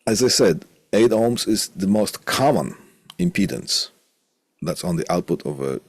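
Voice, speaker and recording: A man teaching as a college instructor in an informal technical lecture, deliberate, often repeating phrases and posing questions to himself. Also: low voice, expressive, dry recording, clean studio sound.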